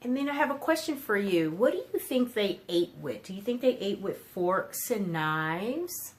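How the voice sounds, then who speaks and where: A woman's voice: mid-range voice, lively intonation, normal speed. A woman hosting a children's storytime, explaining books and putting simple questions to young listeners. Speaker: children's storytime host and book reviewer. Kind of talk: children's storytime book review.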